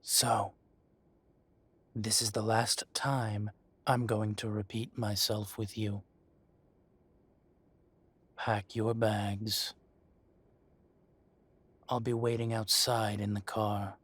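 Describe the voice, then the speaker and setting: Man acting as menacing dragon mafia boss, low husky voice, slow deliberate delivery, possessive, condescending, audio roleplay monologue. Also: level tone, a bit bright, exaggerated voice. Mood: controlled, possessive. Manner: slow, deliberate, condescending threats with heavy pauses. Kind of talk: dramatic roleplay monologue addressed to the listener.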